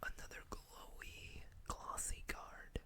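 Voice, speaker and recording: Whispering ASMR host, breathy and bright. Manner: unhurried, casual asides between read-out text. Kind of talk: whispered ASMR card showcase, reading card text aloud.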